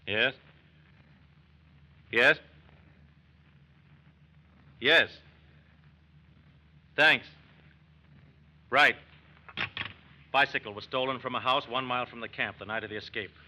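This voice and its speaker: An actor playing a brisk, businesslike American FBI agent in an old-time radio drama, speaking in a clipped official manner. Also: male voice, medium pitch, sharp tone. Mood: urgent, hopeful. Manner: clipped, official, matter-of-fact. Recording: muffled, faintly noisy old radio recording.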